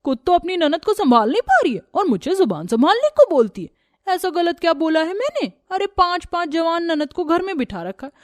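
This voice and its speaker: A woman voicing a spiteful, sharp-tongued older neighbour aunt with a taunting manner in a family story. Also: extremely high pitch, very animated, fairly quick, no room sound, clean studio sound, pitched above the performer's own voice.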